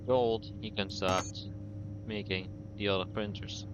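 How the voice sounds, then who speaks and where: Low-voiced man narrating gameplay steps. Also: measured pace, highs cut off. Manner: relaxed, talks through each step as he plays. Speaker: gaming tutorial creator. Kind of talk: casual gameplay tutorial commentary. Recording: clear background noise under voice.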